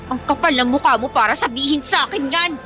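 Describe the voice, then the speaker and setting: A woman's voice: sits high, fast, sharp tone, faint music behind. A woman with a Filipino accent playing a spiteful rich teenage bully in a radio drama, haughty and taunting. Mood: scornful, taunting.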